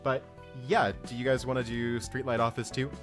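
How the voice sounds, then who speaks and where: A man's voice: mid-range voice, flat delivery, normal speed, music in the background. A man hosting a stream read-along in casual, informal chat. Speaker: stream host and narrator.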